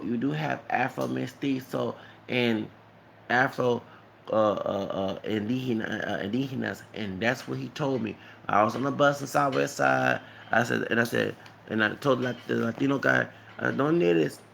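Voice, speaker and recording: Gravelly-voiced man rambling on a vlog. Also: mid-range voice, pushed and bright, a little hiss or hum. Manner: rambling, repeats words, frequent "okay?" tag, switches into Spanish. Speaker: vlogger. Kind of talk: casual storytelling monologue.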